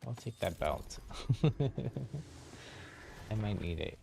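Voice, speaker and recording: A man's voice: medium pitch, expressive, very slow, creaky, dark tone, a little hiss or hum. A man giving casual gameplay commentary on a livestream, explaining things as he goes and thinking aloud. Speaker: game livestreamer.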